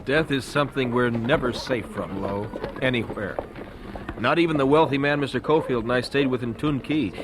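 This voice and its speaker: A man playing a devout, resolute young missionary husband in a radio drama, earnest and gentle. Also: medium pitch, normal speed, noisy background, close to an everyday voice. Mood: grave, sincere. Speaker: voice actor in an audio drama. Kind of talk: radio drama dialogue acting.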